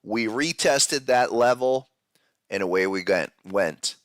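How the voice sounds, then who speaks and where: Man, head cold, deeper than usual, low voice, casual live-stream talk, dry close sound. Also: slow pace, no room sound. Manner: casual, loose, with fillers and restarts. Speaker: podcast host. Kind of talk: live-stream market analysis chat.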